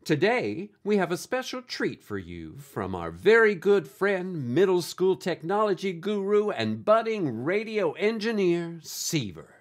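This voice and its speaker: A man's voice: high voice, very animated, a little slow, hardly any pauses. A man playing a folksy, eccentric podcast host in an audio drama, with a theatrical way of talking. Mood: upbeat, welcoming. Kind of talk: scripted audio drama hosting.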